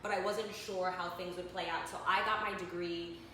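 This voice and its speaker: A young woman from Manhattan, co-hosting a casual chat, animated and laughing, with lots of fillers. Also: on the low side, moderate pace, very echoey, slight background noise. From Manhattan, New York. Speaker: co-host.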